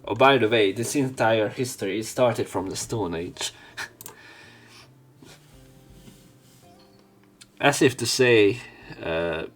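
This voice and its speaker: Low-voiced man reading a game aloud. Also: very slow, long pauses, soft background music. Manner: informal, wry asides with swearing. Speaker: let's play commentator. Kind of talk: reading visual novel narration aloud with casual commentary.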